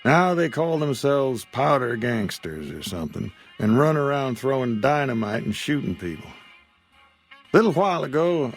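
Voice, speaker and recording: A gravelly old frontier shopkeeper. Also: male voice, mid-range voice. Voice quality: gravelly drawl. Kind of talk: video game dialogue.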